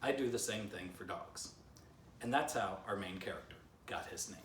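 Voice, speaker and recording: A man's voice: fairly low pitch, moderate pace, a bit bright, slight background noise. A man in his fifties reading his own children's book aloud, with playful, chatty asides to young listeners. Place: living room by a fireplace, indoors. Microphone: camera a few feet away. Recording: roomy, slightly distant home recording.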